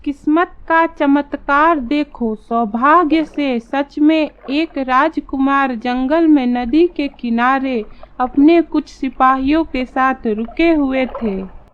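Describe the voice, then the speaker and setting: A woman narrating a scripted children's story in a measured storytelling manner. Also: sits high. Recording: slightly dull, lo-fi sound.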